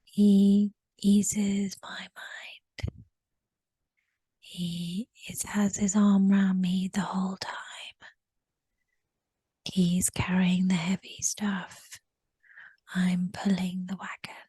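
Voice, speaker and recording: A woman whispering a slow first-person recollection in a guided session, often repeating short phrases. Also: mid-range voice, level tone, dark tone, slightly rough, dry recording.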